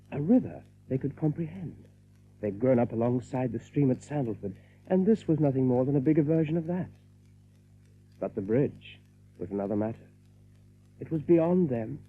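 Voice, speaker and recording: Husky male narrator on narrow-band audio. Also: fairly high pitch. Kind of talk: scripted story narration. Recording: narrow, phone-like audiobook recording.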